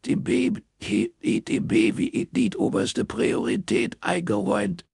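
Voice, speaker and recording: A man voicing a distraught woman in an audiobook, crying and stammering haltingly through a phone call. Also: medium pitch, wildly swooping pitch, gravelly, husky, no room sound, studio quality, voice pushed up, exaggerated voice. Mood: sobbing, stammering.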